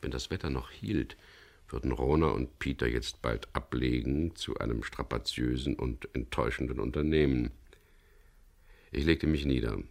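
Sehr tiefer, knarrender Kapitän. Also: male voice, very deep voice, moderate pace, gravelly, heavily acted. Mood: calm, matter-of-fact. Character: wary, gruff boat skipper, man, narrating his adventure. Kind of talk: radio crime drama acting and narration.

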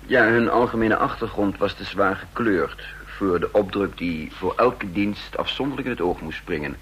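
A man's voice: on the low side, normal speed, fairly bright tone, a little hiss or hum, lightly acted, low-quality recording. An actor playing a shrewd male counterintelligence chief who also narrates the radio drama, speaking with dry, self-assured irony. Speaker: narrator and lead character. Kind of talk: vintage radio drama acting.

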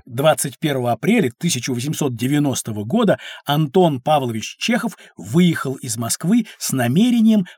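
Casting: patient, knowledgeable uncle in educational audio drama; raspy edge, expressive, dry studio sound. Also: male voice, on the high side, no echo. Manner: patient, storytelling, emphatic. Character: knowledgeable uncle explaining history to children.

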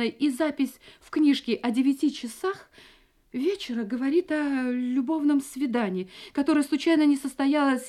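An actress plays an anxious young wife in a radio drama, her voice fairly high but soft and mellow. She often drops into agitated whispers as she talks to herself. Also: close to an everyday voice. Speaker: heroine and narrator of a radio play. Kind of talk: radio play acting.

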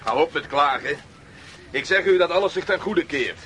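A man's voice: on the high side, expressive, normal speed, pushed and bright, slight background noise. An actor playing a brusque, commanding travelling horseman in a radio drama. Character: brusque, reassuring, hungry male travelling horseman. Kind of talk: Dutch radio drama acting. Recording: radio play with busy background.

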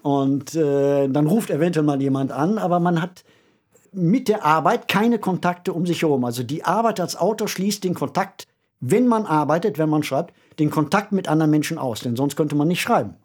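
Guy podcast host, slightly high raspy voice, chatty but thoughtful, leans on key words. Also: expressive, moderate pace, a little mellow. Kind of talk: conversational discussion of a radio play.

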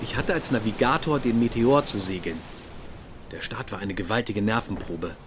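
An actor playing an imperial German naval officer, reminiscing in formal, descriptive narration for a radio drama. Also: male voice, on the low side, moderate pace, background noise, close to an everyday voice. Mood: recollecting.

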